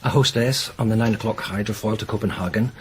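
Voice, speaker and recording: A methodical male detective played by an actor in a radio drama. His voice is on the low side and courteous, plainly reporting with small hesitations. There is faint noise and ambience behind him. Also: quick pace, close to an everyday voice. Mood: matter-of-fact. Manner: hesitant, matter-of-fact delivery. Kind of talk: naturalistic radio drama acting.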